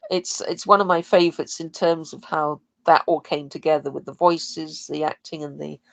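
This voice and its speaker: A woman in casual podcast conversation, with a slightly low voice. She speaks thoughtfully, and the sound is dry and close. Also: fairly even intonation, moderate pace, gravelly, fairly bright tone, no room sound. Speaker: podcast guest, sound and music designer for audio dramas. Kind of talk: casual chat.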